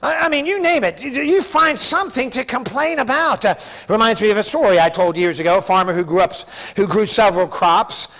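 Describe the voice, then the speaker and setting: A male pastor in his fifties delivers a sermon from a church pulpit. His voice sits high for a man. He talks in a folksy, conversational manner and drives his points home with emphasis. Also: a little fast, hardly any pauses, a bit bright. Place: church sanctuary, preaching from a pulpit.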